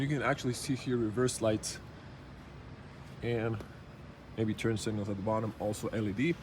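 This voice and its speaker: Man, car reviewer, slightly rough morning voice, mid pitch, casual unscripted commentary, halting phrasing, faint ambient noise. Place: car show exhibition space.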